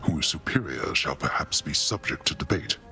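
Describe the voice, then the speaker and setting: An actor voicing a stern, formal god king and father in a video game, speaking with grave, measured weight over faint game music. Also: expressive, bright, forceful tone, heavily acted. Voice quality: deep commanding voice. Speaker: game character.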